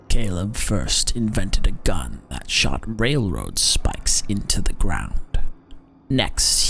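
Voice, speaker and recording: Very deep, gravelly male dramatic narrator. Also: fairly bright tone. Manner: theatrical, deliberate storytelling with drawn-out emphasis. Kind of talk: dramatic scripted narration of dark lore. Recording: music behind the voice. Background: background music.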